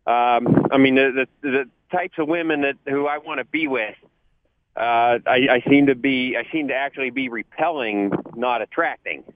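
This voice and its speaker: A man's voice: medium pitch, moderate pace, noisy background. A man calling in to a radio advice show, hesitant and searching for words. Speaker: caller. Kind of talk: phone call to a radio show. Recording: tinny phone line.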